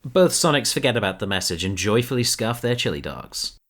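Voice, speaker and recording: A man's voice: lowish voice, big pitch swings, fairly quick, a bit bright. A man reading scripted narration for a fan audio drama.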